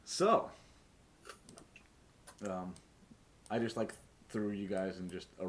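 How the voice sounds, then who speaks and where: A man's voice: low voice, slow. A man casually running a tabletop role-playing game as game master, joking and swearing freely. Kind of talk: casual, joking live-streamed game narration. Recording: clear background noise, keyboard and mouse clicks.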